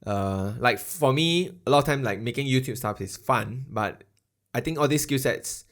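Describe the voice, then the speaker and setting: A man's voice: mid-range voice, normal speed, a bit bright. A man, co-hosting a podcast in a rambling, reflective chat. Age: 30s. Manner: rambling, reflective, ends phrases with lah. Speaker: podcast co-host. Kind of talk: casual chat.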